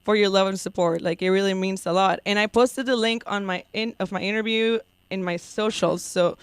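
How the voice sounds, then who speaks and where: A woman's voice: mid-range voice, steady tone, a little fast, clean tone, no echo. A woman hosting a casual college radio interview, Spanish her first language, bubbly and teasing, laughing often. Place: radio studio.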